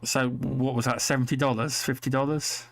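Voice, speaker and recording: A man's voice: mid-range voice, flat delivery, normal speed, fairly bright tone, dry recording. A British man talking casually about games with friends, often repeating his phrases. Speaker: gamer on a podcast.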